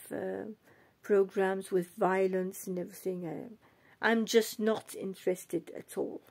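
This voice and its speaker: Slow, soft-spoken English-born woman. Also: medium pitch. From England. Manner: soft, unhurried, switches between English and French. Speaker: doll collector vlogger. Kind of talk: casual bilingual vlog chat.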